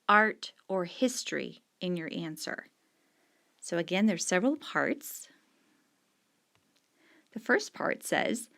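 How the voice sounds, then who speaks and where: A woman's voice: medium pitch, fairly bright tone. A middle-aged woman teacher patiently explaining discussion questions to students, stressing key words while she marks up the page with a pen. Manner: annotating on screen with a pen while talking.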